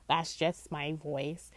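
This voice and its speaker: A woman with a nasal voice, stuffed up from congestion, talks slowly and unhurriedly through a card reading. Her voice sits a little low, and she keeps tagging her thoughts with a soft "okay?" to the viewer. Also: dry recording. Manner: slow and drawn-out, with frequent "okay?" check-ins. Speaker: psychic tarot reader. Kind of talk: casual spiritual card reading.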